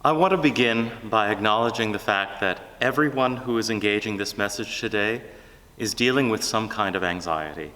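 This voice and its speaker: A man preaching a scripted sermon in an earnest, deliberate manner. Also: lowish voice, bright, forceful tone. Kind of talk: sermon, measured scripted delivery. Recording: long hall reverb.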